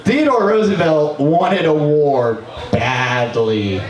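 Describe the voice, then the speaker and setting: A man in his twenties doing a profane, animated comic history monologue at an open mic in a small bar, sometimes breaking into shouts. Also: on the high side, slow pace. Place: small bar stage, indoors. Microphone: stand mic, distant camera. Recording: roomy bar, camera far off.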